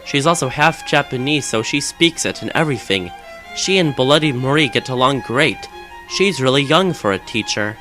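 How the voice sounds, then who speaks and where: A man's voice: mid-range voice, lively intonation, normal speed, pushed and bright, faint music behind, rough recording. Man doing a dramatic story reading. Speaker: fan fiction reader. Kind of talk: dramatic reading of fan fiction.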